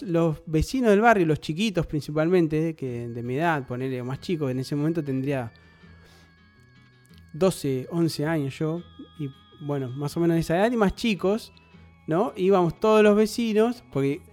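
A man's voice: on the high side, moderate pace, darkish tone, soft background music. A man with an Argentine Rioplatense accent, a radio host telling a nostalgic story in a chatty, rambling way full of tag questions.

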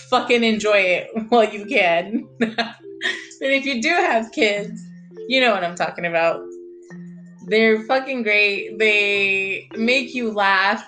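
Excitable woman hosting a chatty podcast. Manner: excited, fast and high-pitched, stretching words, swearing often; drops Spanish phrases into her talk. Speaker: podcast host. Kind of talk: casual podcast monologue.

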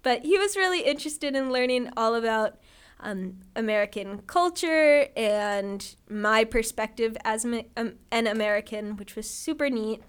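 Bright-voiced upbeat teen girl from Ohio. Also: a little high, normal speed, clean tone. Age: teens. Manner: enthusiastic, upbeat. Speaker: high school senior guest. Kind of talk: casual interview chat.

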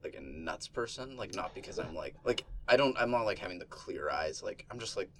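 A young man in his twenties talks in a rambling, fidgety way, restarting sentences and cutting himself off. His voice sits on the low side. He sounds anxious and sweaty, recorded in a small, hot room. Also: animated, a little fast, a bit bright, slight background noise. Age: 20s. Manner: rambling, self-interrupting, fidgety. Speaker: podcast co-host. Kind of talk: casual chat.

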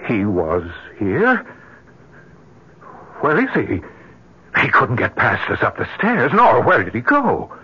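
An actor in an old radio melodrama playing a distraught, disbelieving husband, agitated and urgent. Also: male voice, mid-range voice, huge pitch swings, slow, pauses often, slightly rough, full character voice. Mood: alarmed. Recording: muffled old radio drama recording.

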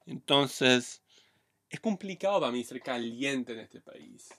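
A man's voice: medium pitch, animated, slow pace, fairly bright tone. A man in his thirties from Brazil with a Brazilian accent, co-hosting a podcast in casual chat and telling frank stories full of Chilean slang. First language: Portuguese. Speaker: podcast co-host.

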